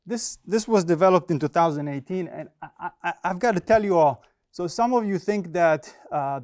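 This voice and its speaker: A man's voice: on the high side, a little mellow. A man, a native Hebrew speaker, presenting academic research in a casual, hesitant way with many fillers and dry humor. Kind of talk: academic talk with slides.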